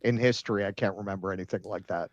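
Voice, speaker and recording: A man co-hosting a political show, giving casual, sardonic commentary with halting, repeated starts. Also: low-pitched, steady tone, dry recording, lo-fi sound. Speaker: political talk show co-host.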